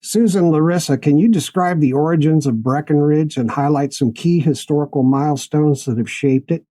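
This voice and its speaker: A man's voice: a little high, normal speed, dark tone. A man hosting a conversational interview, with a folksy, curious way of talking. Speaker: podcast host interviewing guests.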